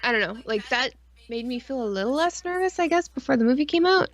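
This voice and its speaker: A woman's voice: high-pitched, lively intonation, quick pace, no room sound. Casual, rambling woman co-host. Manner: rambling and informal, frequent 'like' and mild swearing. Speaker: podcast and stream co-host. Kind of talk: casual chat.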